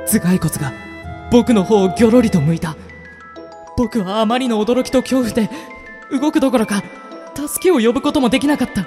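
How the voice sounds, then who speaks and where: A man's voice: very high-pitched, fairly quick, in a large hall, over music. A man playing a timid young part-time gym worker who also narrates the radio drama. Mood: tense narration. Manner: timid, startled. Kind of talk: scripted first-person radio drama narration.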